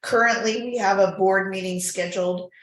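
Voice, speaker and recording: A woman's voice: mid-range voice, fairly even intonation, normal speed. A woman chairing a formal board meeting, moving through procedure with conversational, informal asides. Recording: echoey room recording.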